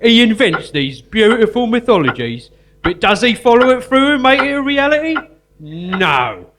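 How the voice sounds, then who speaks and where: A man's voice: extremely high pitch, big pitch swings, moderate pace, full character voice. A man playing an anxious, indignant prison accomplice in a live stage comedy, fretful and complaining. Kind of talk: live comedy theatre acting. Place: live performance in a museum. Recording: noisy live stage recording.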